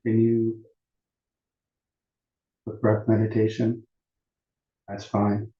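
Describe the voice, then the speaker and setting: A man teaching meditation at a retreat in a calm, deliberate, unhurried way with long pauses between phrases. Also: on the low side. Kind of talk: guided meditation instruction at a retreat. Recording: muffled, roomy echoing sound.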